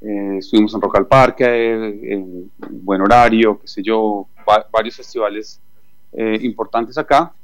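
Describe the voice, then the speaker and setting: A male musician from Chile with a Colombian accent, talking through an interview over the line with many thoughtful "eh" pauses. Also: lowish voice, fairly even intonation, no room sound, rough recording. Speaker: musician guest. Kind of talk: phone interview.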